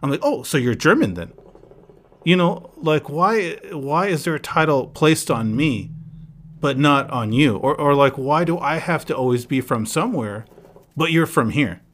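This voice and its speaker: A man from the United States hosting a casual podcast chat and telling stories in a relaxed way, often slipping into quoting what people said to him. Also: fairly high pitch. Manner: relaxed storyteller, quoting people in quick asides. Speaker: podcast host.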